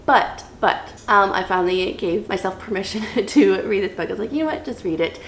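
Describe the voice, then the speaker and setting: A woman's voice: medium pitch, expressive, fairly quick, echoey room, a little hiss or hum. A woman in her thirties chatting casually and enthusiastically to viewers as a book vlogger, sounding slightly stuffy and repeating words for emphasis. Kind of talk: casual chatty reading wrap-up to camera.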